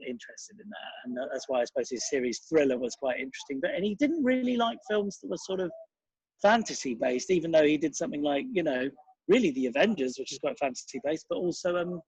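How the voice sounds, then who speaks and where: A man's voice: medium pitch, animated, fast, darkish tone, husky, dry recording, poor audio. A man giving a casual interview as a guest, reminiscing in a rambling, enthusiastic and digressive way.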